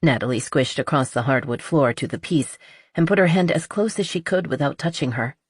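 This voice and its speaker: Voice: medium pitch, fairly quick, slightly rough, no echo, studio quality. A narrator reading a scripted romance audiobook.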